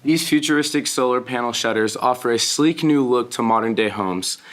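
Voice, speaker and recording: A man's voice: mid-range voice, moderate pace, fairly bright tone. Young Texan man, student presenter, careful read with small restarts. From Texas. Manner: careful reading with small self-corrections. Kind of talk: reading a prepared presentation.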